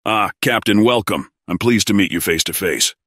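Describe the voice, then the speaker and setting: A man's voice: fairly low pitch, normal speed, fairly bright tone, dry recording, studio quality. A man playing a fussy, courteous alien colony administrator in an audio drama, with a formal, officious manner.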